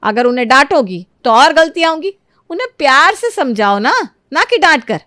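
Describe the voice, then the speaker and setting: A woman voicing a kindly, motherly middle-aged lady who reasons gently in a moral storybook tale. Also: high-pitched, sing-song, normal speed, dry recording, studio quality, pitched above the performer's own voice, heavily acted. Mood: reproachful. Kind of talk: animated moral storybook dialogue.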